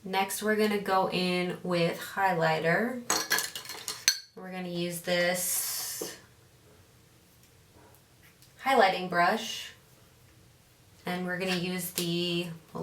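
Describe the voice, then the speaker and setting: Slow, easygoing woman in an echoey room. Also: fairly low pitch, a bit bright, a little hiss or hum. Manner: rambling, loses her train of thought. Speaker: beauty vlogger. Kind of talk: casual makeup tutorial chat. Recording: roomy echo, mic at a distance.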